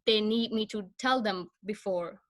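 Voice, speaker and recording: A young woman from Pakistan whose first language is Punjabi, a guest speaking in a soft, hesitant way with many fillers. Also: medium pitch, measured pace. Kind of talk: casual interview chat.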